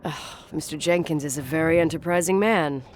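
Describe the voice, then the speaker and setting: A woman's voice: low voice, fairly quick, a little mellow, slight background noise, close to an everyday voice. An actress playing a sardonic, impatient young American woman in a video game, with a brusque, dry manner. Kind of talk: naturalistic video game acting.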